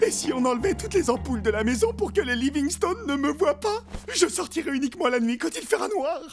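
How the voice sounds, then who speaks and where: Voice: high-pitched, animated, quick pace. An actor in a French cartoon dub voicing a naive, plaintive, food-loving boar who poses as a cat. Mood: eager, naive. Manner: plaintive, goofy. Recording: background music over the dialogue.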